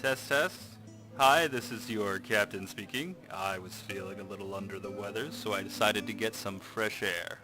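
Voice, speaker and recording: A man's voice: fairly low pitch, moderate pace, big-room sound, over music, natural voice. A man playing a cocky, flirtatious wisecracking operative in an audio drama, with a glib, playful manner.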